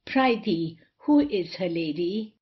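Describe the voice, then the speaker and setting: A woman's voice: fairly low pitch, expressive, slow pace, highs cut off. A woman playing a saucy, sneering tavern wit in a period comedy, teasing and prying theatrically. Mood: mocking. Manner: teasing, inquisitive.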